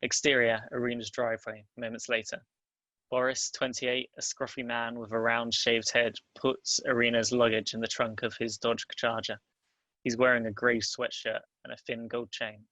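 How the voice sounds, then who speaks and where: A man narrates a screenplay, reading out scene headings and action. His voice is bright and crisp in tone with fairly even pitch, recorded close and dry. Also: normal speed, no room sound, poor audio. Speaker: narrator reading stage directions.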